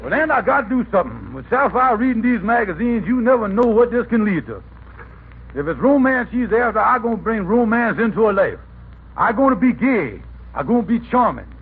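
An actor playing a blustering, scheming middle-aged husband with grandiose, folksy speech in an old-time radio comedy. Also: male voice, high voice, big pitch swings, a little fast. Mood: grandly determined. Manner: grandiose, folksy nonstandard grammar. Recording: tinny, noisy old radio recording.

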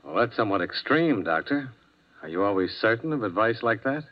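A tough, wry male investigator in a 1950s detective drama. He is clipped and dry, with a lowish voice, on a muffled vintage recording. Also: very animated, a little fast. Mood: dry, skeptical. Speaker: detective lead and narrator. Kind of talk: 1950s radio drama narration and acting.

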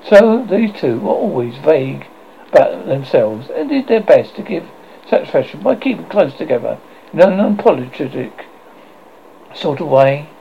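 A man's voice: normal speed, gravelly, highs cut off, slight background noise. A man reading a classic children's novel aloud as a narrator, telling it expressively with occasional slips. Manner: expressive storytelling with lively swings in pitch and occasional slips. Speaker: audiobook narrator.

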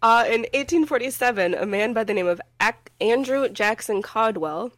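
A woman's voice: fairly high pitch, normal speed, fairly bright tone, no room sound. A woman hosting a casual podcast chat while getting over a cold, scratchy in the throat and rambling with frequent giggles. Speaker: podcast host.

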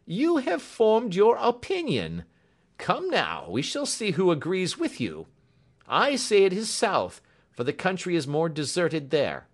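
A man's voice: on the high side, big pitch swings, unhurried, pitched above the performer's own voice. A man voicing a hearty, eager police inspector in an audiobook, confident, jovial and emphatic. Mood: challenging. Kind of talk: audiobook character acting.

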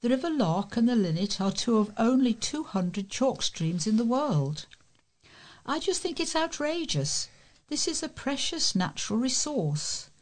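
A woman's voice: fairly high pitch, sing-song, measured pace. A British woman reading local news aloud as a volunteer newsreader.

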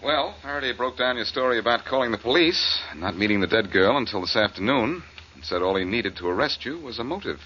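An actor playing a cool, confident, quick-witted amateur detective in 1940s radio style, on a muffled, hissy recording. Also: male voice, medium pitch, lively intonation, sharp tone. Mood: probing. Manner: confident, wry.

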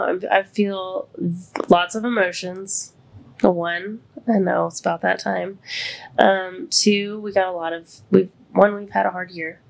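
Congested, gravelly American woman podcaster. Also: poor audio. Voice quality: slightly congested, deeper than usual. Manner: candid, conversational. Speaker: podcast host. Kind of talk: casual, confessional podcast chat.

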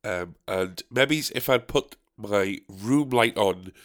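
A man with a deep, nasal, gravelly voice, stuffed up from a cold. He rambles through a solo podcast, swearing freely and laughing at his own mistakes. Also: slow pace, a bit bright. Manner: sweary, rambling, self-mocking. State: recovering from a cold. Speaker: podcast host. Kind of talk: casual solo podcast chat. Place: dark bedroom at a desk. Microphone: desk microphone, badly set up.

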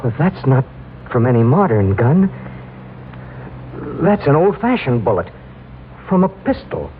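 A man's voice: medium pitch, very animated, a little slow, narrow, tinny audio, slight background noise. An actor playing an intent man examining evidence in an old-time radio drama, on a thin vintage recording.

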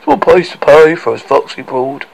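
A man's voice: a little high, expressive, moderate pace, creaky, low-quality recording. A man voicing a conniving, pompous king in a storybook, smug and dismissive with false friendliness.

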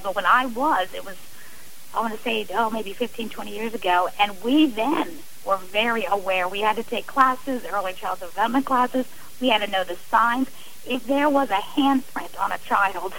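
A woman's voice: mid-range voice, a bit bright, slight background noise, low-quality recording. A middle-aged woman speaking as an interview guest, earnest and emphatic in a conversational way. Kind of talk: personal account in a radio interview.

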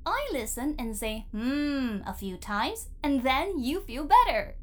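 A woman playing a cheeky, breezy, self-assured AI therapist in an audio drama, with a playful, teasing, brisk manner. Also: high-pitched, sing-song, a bit bright, full character voice. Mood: playful, self-satisfied.

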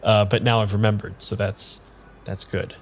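Hesitant low-voiced amnesiac man played by an actor. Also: flat delivery, breathy edge. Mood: hesitant, puzzled. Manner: halting, hesitant, understated. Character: nervous, hesitant amnesiac man having his tarot read. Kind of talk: improvised radio drama acting.

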